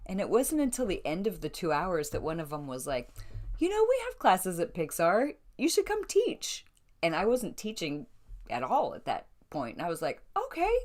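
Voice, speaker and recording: American woman, low voice, casual livestream storyteller who acts out quotes. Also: darkish tone. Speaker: belly dancer telling stories of her career. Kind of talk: casual chat to a live online audience.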